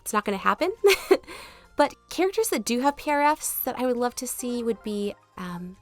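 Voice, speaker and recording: A woman's voice: a little high, sing-song, moderate pace. A woman chatting casually as she answers viewer questions in a gaming video, polite and easygoing, her voice not fully back after being sick. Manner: chatty, laughs easily, polite. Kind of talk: casual chat over gameplay. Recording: music behind the voice. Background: background music.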